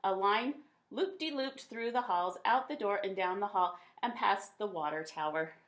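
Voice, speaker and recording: A woman reading a children's picture book aloud in an expressive, folksy storytelling manner. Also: mid-range voice, normal speed.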